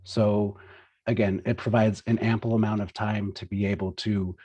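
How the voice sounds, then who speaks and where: A male student giving a casual testimonial in a relaxed, earnest way, with many fillers. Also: fairly low pitch, normal speed.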